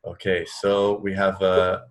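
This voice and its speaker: A man's voice: low voice, flat delivery, a little slow. A man co-hosting a radio show in casual, easygoing, slightly hesitant chat. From England.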